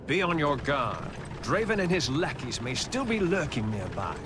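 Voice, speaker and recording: A man's voice: medium pitch, big pitch swings, normal speed, background noise, close to an everyday voice. An actor playing a haughty, loyal vampire nobleman in a video game, speaking in a formal, imperious, grandiose manner. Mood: disdainful, wary. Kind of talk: video game cutscene acting. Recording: music behind the voice.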